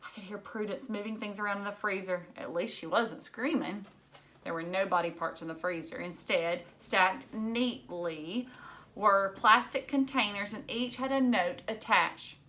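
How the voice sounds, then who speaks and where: A woman narrating a children's novel in an expressive read-aloud style. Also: mid-range voice, moderate pace. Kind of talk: expressive storybook reading.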